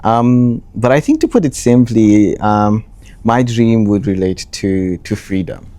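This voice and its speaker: Deep-voiced man answering interview questions. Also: unhurried, no echo. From Nepal. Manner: relaxed, talks in short restarting phrases with many ums. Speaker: interviewee, account manager.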